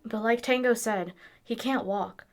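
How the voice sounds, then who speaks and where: A woman's voice: fairly high pitch, a little slow. A woman playing an unbothered, dismissive young female companion in an audio drama, speaking casually. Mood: nonchalant. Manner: casual, nonchalant.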